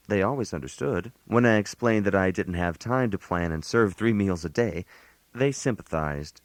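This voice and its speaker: An actor playing a put-upon island host in a scripted comic radio monologue, giving genteel advice in a precise, mock-formal manner. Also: male voice, on the low side, no echo, lightly acted. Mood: wry, exasperated. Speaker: narrator. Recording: analog radio aircheck.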